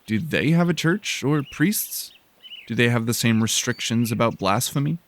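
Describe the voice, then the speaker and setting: A man's voice: medium pitch, lively intonation, normal speed, lightly acted. A man playing an inquisitive lost explorer in a sci-fi audio drama, speaking informally with emphatic questions. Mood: probing, hesitant. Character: John, frustrated, inquisitive lost male explorer.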